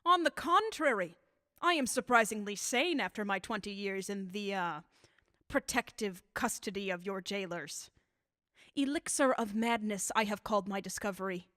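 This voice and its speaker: A woman playing an embittered elderly scientist in a radio drama, measured, calm and dryly sardonic. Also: medium pitch, no echo, studio quality, natural voice. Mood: sardonic, assured. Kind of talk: 1930s-style radio drama acting.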